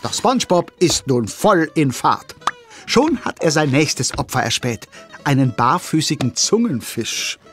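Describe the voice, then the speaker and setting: A man's voice: a little high, very animated, a little slow, music in the background. A man narrating a children's audio drama in a vivid, dramatic storytelling manner.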